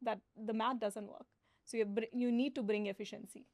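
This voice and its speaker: A woman's voice: a little high, moderate pace, no room sound, clean studio sound. Woman guest explaining plainly. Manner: plain explaining, frequent fillers, steady. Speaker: guest, tech founder. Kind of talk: conversational interview.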